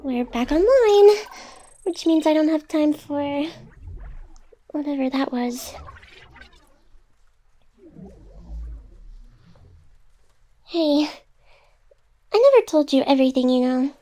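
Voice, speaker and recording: A very high, unhurried female AI acted by a woman speaks in intimate ASMR roleplay. She is teasing and guarded on the surface. Underneath she is tender and smitten, and her confessions come wrapped in denial. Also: expressive. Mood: guarded, earnest. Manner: tsundere, teasing, flustered denials over soft confessions.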